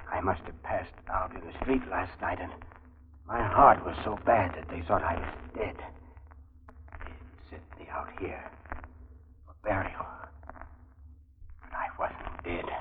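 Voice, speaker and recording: An actor playing a grimly resigned, vengeful dying man in a 1940s radio drama, speaking slowly and deliberately. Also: low voice, big-room sound. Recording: muffled noisy 1940s radio recording.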